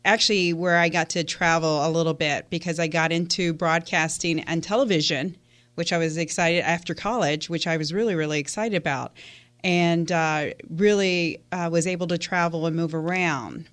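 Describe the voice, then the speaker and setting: A woman's voice: on the low side, steady tone, moderate pace, fairly bright tone. A woman from Houston, Texas, a guest on a radio interview, telling her story in a chatty way with many fillers. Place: radio studio.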